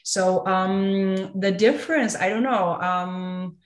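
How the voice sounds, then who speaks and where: A woman from China, in her thirties, answering podcast questions hesitantly with many pauses and light laughs. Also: mid-range voice, roomy sound. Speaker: guest entrepreneur.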